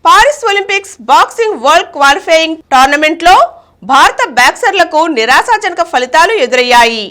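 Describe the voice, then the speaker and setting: Bright, high-pitched woman reading news. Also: expressive, a little fast. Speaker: news reader. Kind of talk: scripted news bulletin reading.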